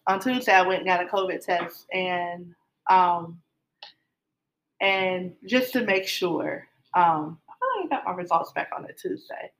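A woman's voice: lowish voice, animated, a little slow, slight background noise, rough recording. A middle-aged woman casually telling her love story, rambling with many fillers and restarts.

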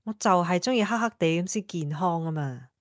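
A woman's voice: on the low side, a little fast, no room sound, close to an everyday voice. Sassy single woman, played by an actress, dry sound. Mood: sarcastic, carefree. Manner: playful, sarcastic retorts. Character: sassy independent single woman, marriage-shy, teasing her friends. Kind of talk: Cantonese audio drama acting.

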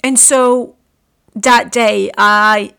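A woman from Morocco in her late twenties hosting a podcast, telling a personal story in an earnest, halting way with frequent restarts. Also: mid-range voice, fairly even intonation, creaky, pushed and bright, clear, resonant tone. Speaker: podcast host. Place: room at home.

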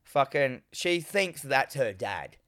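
A man getting over a cold, his voice sitting lower than usual, recapping a film in casual chat. He swears freely and acts out the characters' lines in quick voices. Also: very animated, creaky, fairly bright tone, no room sound, clean studio sound.

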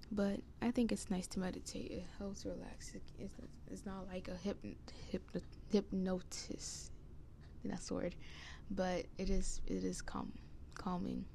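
Young adult female, solo casual chat, meandering delivery, scratchy with plenty of vocal fry, soft dark tone. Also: mid-range voice. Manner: hesitant, meandering. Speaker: podcast host. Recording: noticeable background noise.

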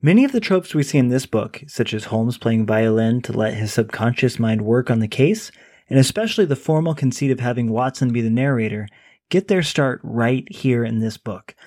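Male podcast co-host, mid pitch, conversational book chat. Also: lively intonation, moderate pace, a little mellow, dry recording, clean studio sound. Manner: conversational, reads a sponsor plug. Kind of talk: casual book discussion and ad read.

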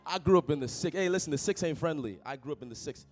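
Man, young preacher, animated storyteller, a bit high-pitched, faint music behind. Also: fast. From Toronto, Canada. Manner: animated, jokey, calls out to the congregation. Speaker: pastor. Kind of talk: sermon with personal storytelling.

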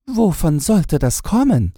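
Guy doing a nervous young fairy tale princess, delicate voice pitched way up, kinda husky. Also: sing-song, slow pace, dark tone, no echo, studio quality, heavily acted. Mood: uneasy. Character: frightened youngest princess, a girl. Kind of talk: fairy-tale audiobook character voice.

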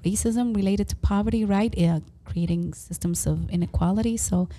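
A woman's voice: medium pitch, moderate pace, warm tone. A woman guest speaking earnestly in casual chat, often checking in with "right?". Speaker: interview guest.

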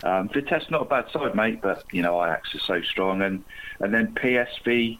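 A man's voice: fairly low pitch, animated, normal speed, fairly bright tone, poor audio. A man co-hosting a casual football podcast, chatty and jokey, calling his co-host mate again and again and laughing often.

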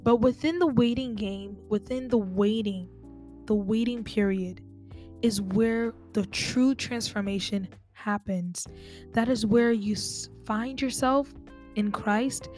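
A woman's voice: a little high, slow, darkish tone, faint music behind. A woman hosting a casual faith podcast, talking straight to her listeners in a chatty, conversational way. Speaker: podcast host.

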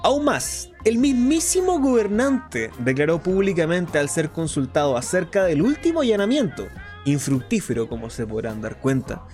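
An actor playing a flamboyant, sardonic underground radio host in a radio drama, talking in a grandiloquent, ironic, defiant way. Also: male voice, high voice, darkish tone. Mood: indignant, mocking. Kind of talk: theatrical radio drama monologue.

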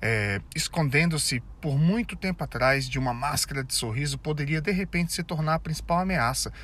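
A man's voice: a little high, lively intonation, a little fast, fairly bright tone. A Brazilian man hosts and narrates a casual commentary video, joking and using slang asides, and he stumbles over foreign names. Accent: Brazilian Portuguese.

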